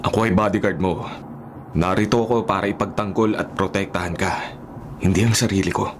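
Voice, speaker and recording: An actor playing a serious, polite young Filipino bodyguard, calm and restrained, in a radio drama. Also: male voice, sits low, fairly quick. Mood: calm, resolute. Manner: calm, respectful, stoic. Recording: loud music under the voice.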